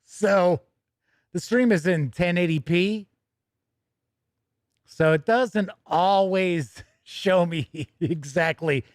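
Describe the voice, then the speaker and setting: Guy with a pretty high voice doing a gaming stream, chatty n casual, really dry close sound. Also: slow, long pauses, dry recording. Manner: chatty, conversational, self-correcting asides. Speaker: host of a tabletop gaming news show. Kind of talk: casual livestream chat and news reading.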